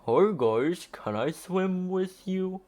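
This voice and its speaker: A man's voice: high-pitched, expressive, slow, darkish tone, pitched above the performer's own voice. A man voicing a lonely young male giraffe in a storybook, hopeful and eager, asking politely. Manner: polite, pleading questions.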